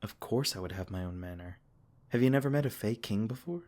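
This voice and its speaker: A man playing a gentle, protective male fae king in a comforting ASMR roleplay, soft-spoken and reassuring. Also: on the low side, lively intonation, fairly quick, lightly acted.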